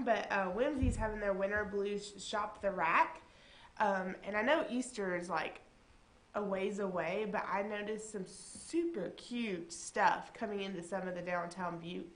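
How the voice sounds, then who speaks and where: A woman's voice: medium pitch, reverberant. A woman co-hosting a casual morning show, stuffy with a cold and chatty, with many fillers. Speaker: co-host.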